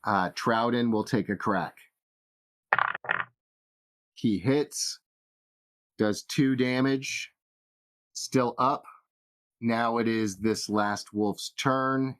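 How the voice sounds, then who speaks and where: A man's voice: mid-range voice, extremely slow, pauses often, rough recording. A man running a tabletop fantasy game as game master, narrating the action.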